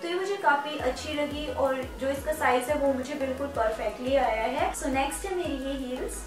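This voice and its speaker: A woman's voice: high-pitched, a little fast. A young woman in her twenties from India, chatting casually and persuasively to viewers while showing off her shopping finds. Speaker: fashion vlogger. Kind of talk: casual shopping haul talk. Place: indoor studio with purple backdrop. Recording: very echoey room, mic at a distance, music underneath.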